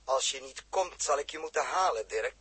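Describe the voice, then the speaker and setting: An actor playing a stern, commanding spaceship captain in a theatrical, clipped 1960s radio drama style, with a husky voice. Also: male voice, medium pitch, expressive, moderate pace, bright, forceful tone, heavily acted. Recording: old 1960s radio recording.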